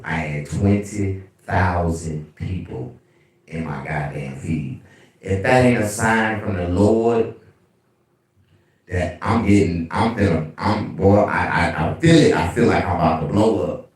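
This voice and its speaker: A man with a deep bass voice hosting a casual livestream, telling animated, profane stories. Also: far from the mic. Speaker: livestream host. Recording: very echoey room, away from mic.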